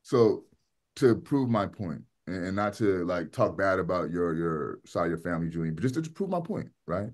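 A man's voice: sits low, expressive, normal speed. A man runs a class discussion over a video call. He talks in a loose, conversational way, repeats his words and presses students with pointed questions. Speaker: lecturer.

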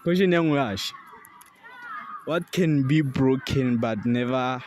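A young man asking trick questions outdoors on a school field, amused and unhurried, into a handheld mic. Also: medium pitch, darkish tone, slight background noise. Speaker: street interviewer. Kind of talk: casual quiz chat.

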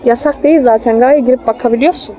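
A woman's voice: a little high, steady tone, fast talker, dark tone. A woman in her thirties talking casually to her small child, pointing things out and explaining, with coaxing endearments. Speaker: mother filming her child. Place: open-air children's park. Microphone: handheld phone, speaker behind the camera. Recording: outdoor phone video with faint crowd noise.

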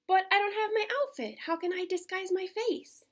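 A woman reading aloud, voicing a gentle, shy female unicorn from a picture book in an earnest, hesitant way. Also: extremely high pitch, fairly even intonation, moderate pace, voice pushed up. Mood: worried.

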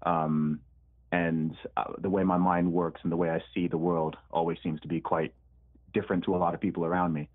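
A man's voice: low voice, flat delivery, a little fast. A man with a mixed British and American accent, answering interview questions in a laid-back, hesitant way, with lots of ums and repeated words. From born in the UK, raised in Saudi Arabia, Nigerian parents. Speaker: guest, rapper. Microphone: remote call line. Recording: tinny phone-like line.

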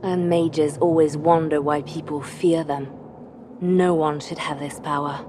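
A woman's voice: low-pitched, fairly even intonation, a little slow, background noise. A woman playing a grim, tortured spymaster in a video game, terse, bitter and fiercely resolute. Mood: cold, terse. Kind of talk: dramatic video game acting. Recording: dramatic music underneath.

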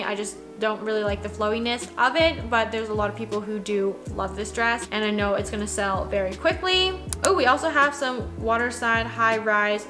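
A woman in her twenties chatting casually from her home office as she reviews new clothing releases, with plenty of "like" fillers. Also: a little high. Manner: chatty, conversational, lots of "like" fillers. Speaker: fashion vlogger. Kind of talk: casual product review chat. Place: small home office, indoors.

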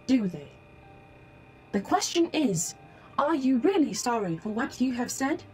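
A woman's voice: a little high, big pitch swings, measured pace, darkish tone. A woman playing a polite, formal holographic ad-bot in an audio drama, speaking precisely and matter-of-factly as she lectures. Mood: firm, challenging. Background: music.

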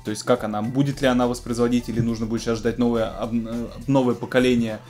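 Male host, 30s, medium-pitched voice, casual gear-news presenting to camera, background music. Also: flat delivery, fast talker, darkish tone. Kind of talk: news roundup to camera, semi-scripted casual talk. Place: small home studio room with keyboards.

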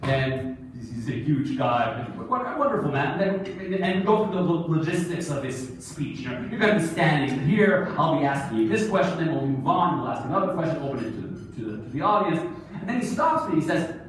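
A man in his forties telling stories as a keynote speaker on stage, lively, asking the audience questions. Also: a little high, fairly quick. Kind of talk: storytelling keynote speech. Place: large conference hall, on stage. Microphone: phone camera far from the stage. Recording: echoey hall recorded from far off.

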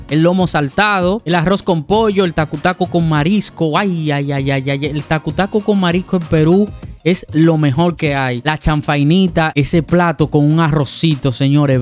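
Man, 30s, reaction host, casual and animated, slightly high voice, soft music underneath. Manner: animated, gestures a lot, keeps addressing viewers as "señores". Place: small indoor home studio with shelves. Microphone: studio mic on boom arm, headphones.

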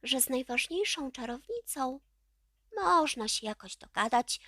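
A woman narrating a children's audiobook in an expressive storytelling style. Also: sits high, a little slow, no room sound, studio quality.

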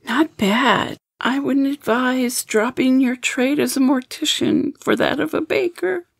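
A woman acts out a blunt, fond old woman for an audio drama. Her voice is creaky and unhurried, recorded dry. She grumbles and runs herself down as she talks. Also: high voice, no room sound, full character voice. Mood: dryly teasing.

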